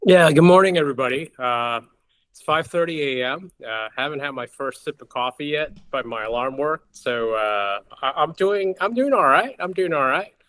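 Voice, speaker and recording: A middle-aged man from Boston introducing himself casually as an interview guest, halting often in mid-sentence. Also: fairly high pitch, expressive, fairly bright tone. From Boston, United States. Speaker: guest, company founder and investor.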